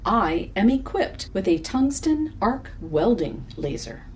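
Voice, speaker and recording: A female robot companion in a space audio drama. The pitch swings widely while the wording stays formal, literal and matter-of-fact. Faint noise sits behind it. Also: big pitch swings, takes it slowly. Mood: helpful, literal.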